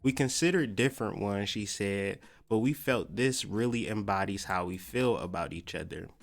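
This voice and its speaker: A man's voice: lowish voice, moderate pace, gravelly. An American man hosting a podcast, talking in a loose, slangy, stop-and-start monologue about spiritual ideas. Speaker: podcast host.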